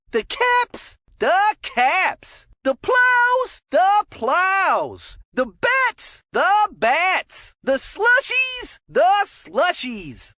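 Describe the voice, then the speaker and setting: An excitable cartoon sports announcer for a kids' hockey game, with a bright, high voice that swings wildly in pitch. Each callout is punchy and exaggerated, drawn out at a slow, deliberate pace. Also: dry recording, clean studio sound, exaggerated voice. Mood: excited. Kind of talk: game commentary, isolated team-name callouts.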